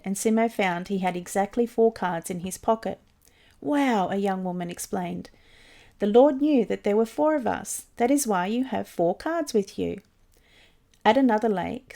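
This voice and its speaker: Female narrator for short religious stories, gently mellow timbre, mid-range pitch, reading from a script. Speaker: volunteer reader of mission stories.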